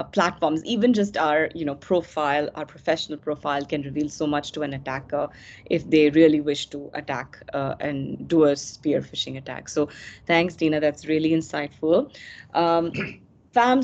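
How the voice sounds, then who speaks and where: A woman's voice: sits low, a little mellow. A woman moderating a panel over a video call, thanking speakers and posing questions. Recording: dull lo-fi video call audio.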